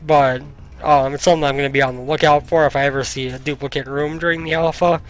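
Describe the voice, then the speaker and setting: A young man commenting casually while playing a video game, thinking aloud with many ums, his voice getting slightly hoarse. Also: fairly high pitch, fairly even intonation, fast talker, poor audio. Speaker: gaming YouTuber. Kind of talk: casual let's-play commentary. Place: home room, indoors. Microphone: headset mic. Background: faint game music.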